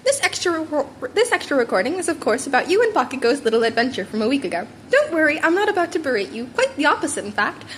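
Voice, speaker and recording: Small animal headmaster female reader, courteous, gleefully scheming, high-pitched, for a fan audiobook with faint background noise. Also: sing-song, quick pace, few pauses. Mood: amused, reassuring. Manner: polite, amused, chuckling. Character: small cheerful, scheming animal school principal. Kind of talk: amateur fan fiction audiobook character.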